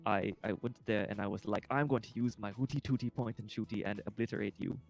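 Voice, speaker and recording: A man's voice: on the low side, quick pace, vocal fry, soft background music. A man voicing a quirky character in a made-up blend of German and Swedish with a Finnish lilt, with a bouncy cadence and line endings that sound like questions. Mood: goofy. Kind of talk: goofy one-liners on a livestream.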